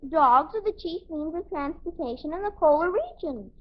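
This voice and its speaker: A woman's voice: very high-pitched, expressive, normal speed, background noise. An actress playing a chirpy, literal-minded little girl who earnestly recites things in a 1950s radio sitcom. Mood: earnest, informative. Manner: earnest, recites facts primly. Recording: muffled old radio sitcom recording.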